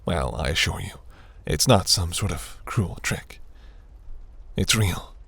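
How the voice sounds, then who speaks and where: A man playing a seductive, imperious demon prince in a fantasy audio roleplay, speaking in a silky, commanding, breathy murmur. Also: expressive, slow, fairly bright tone, no room sound, exaggerated voice. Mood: coaxing, possessive. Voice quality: low, gravelly, breathy.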